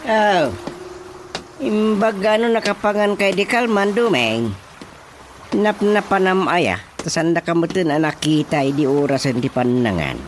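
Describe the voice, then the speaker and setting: A man playing a grumbling, excitable older village father in a radio comedy drama, exclamatory and scolding, with an Ilocano Filipino accent. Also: sits high, unhurried, slight background noise. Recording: radio drama with music underneath.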